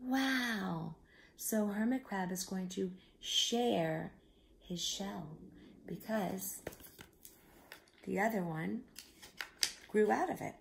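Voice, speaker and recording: A woman reading a picture book aloud to young children, expressive, with simple explanatory asides. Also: mid-range voice, drawn-out words, vocal fry, pauses often, slight background noise. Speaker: children's storyteller.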